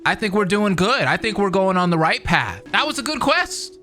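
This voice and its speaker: A young man reacting to a game, talking in an excitable, slangy, casual way. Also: high-pitched, moderate pace. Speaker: gaming reaction host. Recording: game audio with faint music behind.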